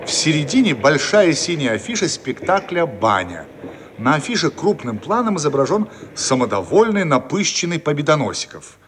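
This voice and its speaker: Animated male radio play narrator. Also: a little high, normal speed, a little hiss or hum. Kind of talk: scripted narration of stage directions. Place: studio radio production.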